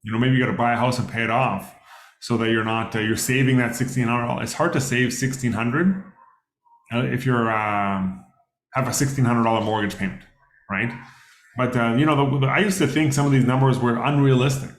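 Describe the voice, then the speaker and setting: A man's voice: mid-range voice, fairly even intonation, a little fast. Guy in his 30s running a money workshop, chatty, lots of uhs, roomy echo. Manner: conversational, many fillers, asks the audience questions. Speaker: financial workshop presenter. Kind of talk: casual workshop lecture.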